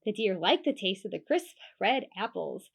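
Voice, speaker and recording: A woman reading a children's storybook aloud in an expressive, emphatic way, with informal asides. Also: mid-range voice, normal speed, creaky. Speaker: children's storybook reader and host. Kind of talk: animated children's read-aloud with casual asides.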